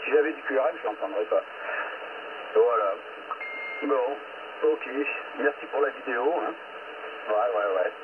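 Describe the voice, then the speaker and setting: A man's voice: medium pitch, a little slow, long pauses. A man chatting casually as a CB operator, heard over a two-way radio. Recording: tinny radio transmission with clear static.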